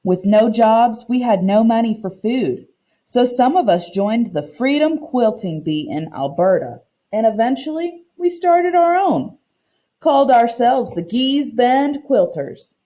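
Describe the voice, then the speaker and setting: Woman reading as proud older woman storyteller, unhurried. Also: on the high side, lively intonation, dark tone. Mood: proud, earnest. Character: proud, earnest older Southern woman recounting her community's history.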